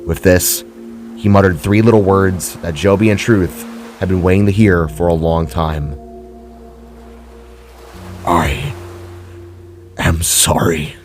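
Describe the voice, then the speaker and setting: A man with a low voice serves as narrator of a dramatic story. The reading is scripted prose, with music in the background. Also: steady tone, moderate pace, a little mellow.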